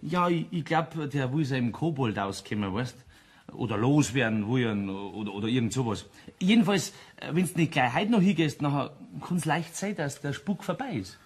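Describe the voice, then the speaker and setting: A man playing a sly, chummy locksmith with a husky voice and a Bavarian accent in a German audio play. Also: mid-range voice, expressive, moderate pace. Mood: coaxing. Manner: hesitant, chuckling, folksy.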